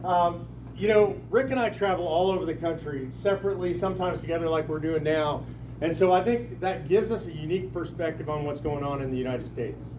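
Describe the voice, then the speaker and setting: A man speaking up to a crowded town hall, his voice pitched a bit high and pushed out loud and folksy. The sound is roomy and picked up from well away from him, with steady hall noise behind it. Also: brisk. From lives in Texas. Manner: loud, projecting, folksy and emphatic. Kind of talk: rousing public speech. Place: packed town hall room. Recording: echoey hall recorded from a distance.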